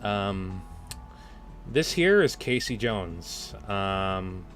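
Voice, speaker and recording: Hesitant, animated man hosting a livestream. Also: low-pitched, drawn-out words. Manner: hesitant, stammering, giggly. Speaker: livestream host. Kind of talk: casual livestream chat. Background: music playlist.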